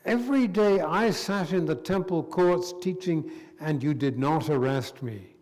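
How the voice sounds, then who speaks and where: Minister guy reading a bible part out loud, voice on the high side, long church reverb. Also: measured pace. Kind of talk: dramatised scripture reading.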